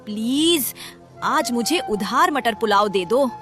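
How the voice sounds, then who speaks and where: A woman's voice: on the high side, expressive, takes it slowly, a bit bright. Casting: woman as broke, hungry cartoon bird of prey, swooping pleading delivery, music behind. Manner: wheedling, pleading. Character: hungry, penniless female kite bird, pleading. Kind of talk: children's cartoon story acting. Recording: music under the voice.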